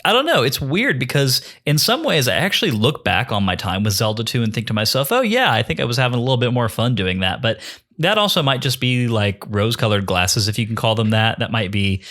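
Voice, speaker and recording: A man's voice: mid-range voice, very animated, fairly quick, fairly bright tone. A man hosting a podcast in casual, bantering chat, with wry mock-formal flourishes. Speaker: podcast host.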